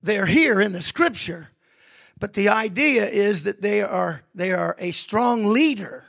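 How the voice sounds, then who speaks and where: Gravelly-voiced man preaching a sermon. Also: high voice, expressive, measured pace, no echo, studio quality. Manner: builds from quiet reflection to loud emphatic preaching. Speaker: pastor.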